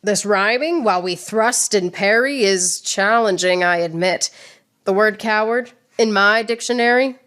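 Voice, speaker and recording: A woman's voice: mid-range voice, a little slow, a bit bright, natural voice. A woman playing a proud, witty swordswoman poet in radio theatre, declaiming verse with mocking precision. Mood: triumphant, taunting.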